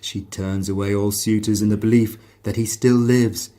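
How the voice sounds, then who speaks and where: A man's voice: fairly low pitch, steady tone, moderate pace, warm tone, close to an everyday voice. A man playing a brainy, confident palace guard in a children's story, with eloquent, mock-Shakespearean delivery. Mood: grave, eloquent.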